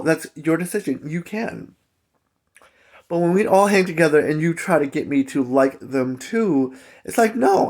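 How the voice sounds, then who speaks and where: A man telling a personal story in a casual, chatty, conversational vlog style with emphatic asides. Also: fairly high pitch. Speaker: storytelling vlogger.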